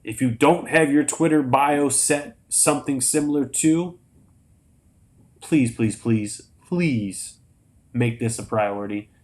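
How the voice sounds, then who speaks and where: An American man hosts a casual sports livestream. His voice sits at an average pitch and has a crackly edge. He talks in a relaxed, conversational way, like a host among friends. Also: takes it slowly. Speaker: host of a live sports show.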